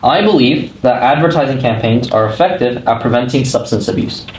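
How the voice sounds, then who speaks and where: A man calmly reads out short survey statements, one at a time, in an even and unhurried scripted tone. His voice sits at a middle pitch. The room gives it a noticeable echo, with a faint hiss beneath. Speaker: narrator reading survey statements. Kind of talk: scripted reading of prompts. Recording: roomy echo, faint noise.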